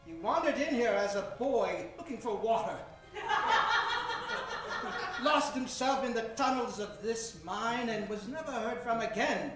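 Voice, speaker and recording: A man's voice: very high voice, animated, measured pace. A man playing a pompous professor adventurer with theatrical, portentous delivery in an old-time radio serial. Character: pompous hard-drinking professor adventurer, mentor to a boy. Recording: very echoey stage, clear music behind.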